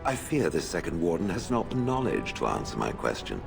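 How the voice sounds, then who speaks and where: Super deep gravelly golem from a video game, snooty n sardonic, swingy delivery, music behind. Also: male voice, wildly swooping pitch, a little fast, full character voice. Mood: puzzled, haughty. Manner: formal, dry, detached phrasing. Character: ancient sardonic stone golem, haughty and curious. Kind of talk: video game character acting.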